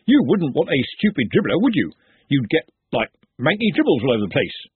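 A man's voice: on the high side, wildly swooping pitch, fairly quick, husky, no echo, narrow, tinny audio, full character voice. Man doing a stubborn, emphatic workman. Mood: insistent. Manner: emphatic and earnest. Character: burly, stubborn male candle dribbler.

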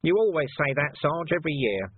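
A man's voice: a little high, big pitch swings, brisk, breathy edge, no room sound, narrow, tinny audio, voice pushed up. A man voicing a blunt, persistent male city watchman.

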